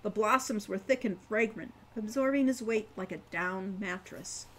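A woman in her sixties narrating a fantasy novel in a measured, careful reading style at home. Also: mid-range voice, a little hiss or hum, poor audio. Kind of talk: audiobook-style story narration. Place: room at home.

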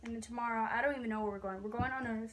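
A woman's voice: a little high, steady tone, very fast, a bit bright, slight background noise. A young woman with an American accent chatting casually to viewers as a vlogger. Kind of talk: casual vlog chat to viewers. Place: bedroom, kitchen, car and outdoors at night. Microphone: phone or device held at arm's length.

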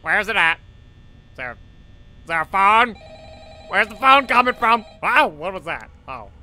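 A man voicing a nervous, excitable cartoon teacher commenting on a horror game, easily flustered and repeating his questions. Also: high voice, a little slow, soft background music, exaggerated voice. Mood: curious. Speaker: gameplay video host.

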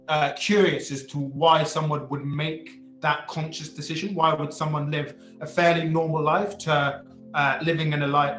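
A man in his twenties speaking haltingly and reflectively as an interviewed writer-director on a video call. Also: fairly high pitch, fairly bright tone. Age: 20s. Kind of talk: thoughtful interview talk. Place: indoor room, video call. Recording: roomy, echoey video call.

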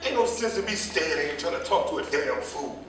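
An actor playing a nosy, argumentative gossip of a cab driver in a staged play reading, needling and defensive. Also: male voice, a little high, very animated, fairly quick, a bit bright. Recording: echoing room from far off, faint noise.

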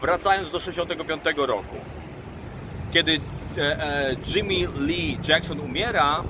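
A man in his forties, a tour guide, explaining history informally to camera in a halting way, with pauses mid-phrase. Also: on the high side. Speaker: tour guide and travel vlogger. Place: outdoors by a road bridge. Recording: loud outdoor traffic noise. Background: passing cars and vehicles.

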